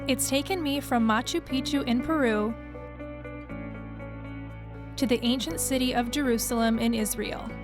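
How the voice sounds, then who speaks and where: A young woman from Canada, a dancer, reading scripted narration in a smooth, even way. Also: on the high side, normal speed, long pauses. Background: music.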